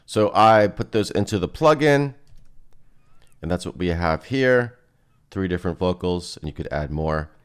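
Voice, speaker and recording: Deep-voiced man, casual software demo. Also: lively intonation, unhurried, clear, resonant tone. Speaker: presenter demonstrating music software. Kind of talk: casual screen-recorded software walkthrough.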